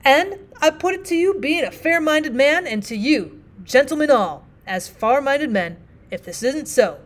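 A plainspoken frontier miner, as a woman reads him, rambles along in a rustic Old West drawl. The voice sits fairly high and comes out louder than the narration around it, earnest and homespun. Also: lively intonation, measured pace. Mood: earnest pleading. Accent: put-on rustic Old West drawl. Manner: plainspoken, rambling, folksy dialect. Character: rough, earnest male frontier miner, middle-aged.

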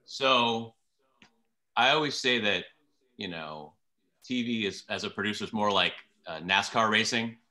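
A man's voice: fairly low pitch, measured pace, sharp tone. A man in his fifties, a TV producer, explaining things casually on a video call from his living room, telling stories and giving examples. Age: 50s. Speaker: TV producer guest.

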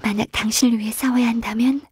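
Gentle half-qilin secretary, video game heroine, very breathy, close dry sound, earnest, courteous, reserved. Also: female voice, on the high side, steady tone, fast talker, dry recording. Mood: calm, reflective. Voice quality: soft, breathy. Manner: polite, hesitant, reserved. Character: gentle, shy, earnest half-qilin secretary, young-looking woman centuries old. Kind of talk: video game character voice lines.

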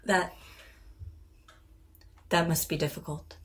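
An actress playing a composed, reassuring therapist, speaking formally and with gentle, measured questioning in an audio drama. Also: female voice, on the low side, steady tone, slight background noise. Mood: calm, probing.

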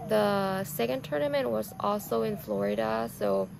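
A Thai woman in her twenties with a Thai accent, telling her own story softly and slowly to a camera outdoors, with many fillers. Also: medium pitch, creaky, over music. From Thailand. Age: 20s. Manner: slow, soft and reflective, with many fillers. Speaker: vlogger. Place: outdoors on a lawn. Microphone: camera on a tripod, seated facing it.

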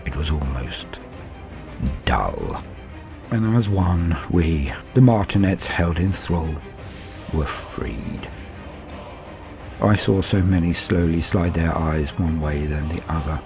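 Man as a haunted survivor, very low voice. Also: animated, creaky, a little mellow, faint music behind, exaggerated voice. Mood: grim, shaken. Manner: solemn, measured, dramatic pauses. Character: haunted, horror-struck male survivor giving testimony. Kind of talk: dramatic first-person horror narration.

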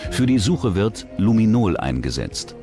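Deep-voiced male true-crime narrator. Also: very animated, unhurried. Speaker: documentary narrator. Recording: music under the voice. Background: background music.

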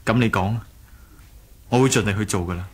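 A man playing an earnest, gentle young rebel leader in a radio drama, sincere and a little hesitant. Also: on the low side, fairly quick, slightly rough. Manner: sincere, hesitant, soft-hearted. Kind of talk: Cantonese 1980s radio drama acting.

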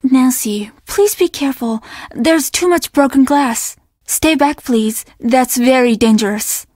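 An actress playing a stern young innkeeper's daughter in a video game, speaking in a measured, formal and easily offended way. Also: female voice, high voice, a little mellow, no room sound, studio quality. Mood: cautious. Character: guarded, stern young Japanese innkeeper's daughter, polite but curt. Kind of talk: video game character dialogue.

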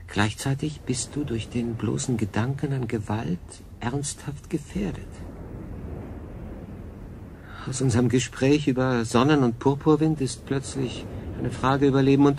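A conflicted male castaway in a science-fiction audio drama. He speaks at a middling pitch, earnest and thoughtful, sometimes halting. Clear background noise surrounds the voice. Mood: pensive. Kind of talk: German radio drama acting.

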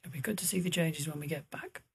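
A man playing a genial, mild older uncle in a period audio drama, speaking in a measured way. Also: fairly high pitch, vocal fry, slight background noise.

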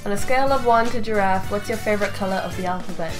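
A woman's voice: medium pitch, fast, low-quality recording. A woman in her twenties chatting casually in a Q&A vlog, with a jokey, sweary manner. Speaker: YouTube host and voice actor. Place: small bedroom, indoors. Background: background music.

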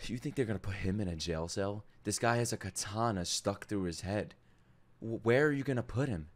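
A young man streaming his reaction to an anime at home, cracking crude jokes and breaking into sudden loud outbursts. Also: lowish voice, fairly quick. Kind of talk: live reaction commentary. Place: home streaming room with gaming chair. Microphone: studio mic on boom arm, headphones on. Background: anime soundtrack playing faintly.

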